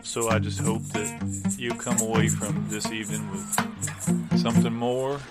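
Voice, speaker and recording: Forlorn boozy busker played by a man, low voice, meandering deadpan patter, prominent guitar behind. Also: unhurried, natural voice. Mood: rambling, wistful. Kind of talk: comic monologue to live audience over guitar. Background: acoustic guitar playing.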